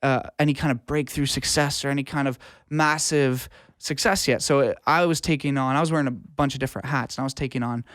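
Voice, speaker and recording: Smooth-voiced man chatting casually, close and dry. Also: medium pitch, fairly even intonation, fairly quick, few pauses, a bit bright, dry recording. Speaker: guest, touring live sound engineer and studio producer. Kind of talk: casual interview conversation, storytelling.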